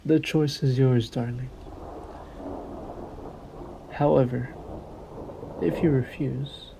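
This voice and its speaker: A man's voice: medium pitch, vocal fry, warm tone, a little hiss or hum, low-quality recording. A man playing a centuries-old vampire in a roleplay audio drama, sadistic and possessive, with formal, silky menace and condescending endearments. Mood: silky threat.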